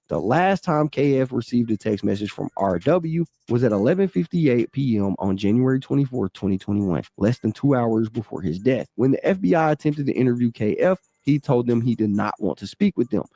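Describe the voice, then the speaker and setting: Guy reading a court doc out loud, steady and measured, mellow voice, dry close mic. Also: mid-range voice, no room sound. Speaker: commentator reading a court document. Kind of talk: reading a legal filing aloud with brief asides.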